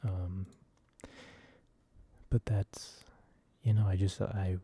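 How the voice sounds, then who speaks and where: A man softly rambling in a casual ASMR style, hesitant and informal, with a breathy voice. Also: sits low, level tone, very slow. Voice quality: soft, breathy. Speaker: video creator. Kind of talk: soft-spoken ASMR ramble.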